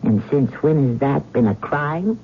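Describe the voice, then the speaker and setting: A woman's voice: extremely low pitch, lively intonation, unhurried, exaggerated voice. An actress playing a proud, stubborn old woman devoted to her cats in a radio drama, with a tart, imperious manner. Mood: defiant. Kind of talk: old-time radio drama acting with first-person narration. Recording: muffled, noisy vintage radio recording.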